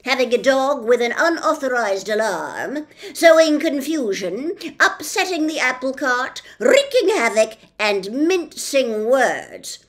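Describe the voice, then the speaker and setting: A fierce, pompous little policeman played by a woman reading a storybook blusters and accuses at high volume. The pitch jumps up and swings widely, and each charge is drawn out slowly and emphatically. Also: sing-song, exaggerated voice. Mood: officious, stern. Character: fierce, tiny, pompous male policeman, judge and jailer. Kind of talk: storybook character voice.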